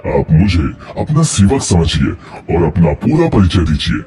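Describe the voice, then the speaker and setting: Man playing an eager, reverent king, lively pitch. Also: measured pace, husky, full character voice. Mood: reverent, amazed. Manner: deferential, expressive. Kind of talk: cartoon moral story dialogue.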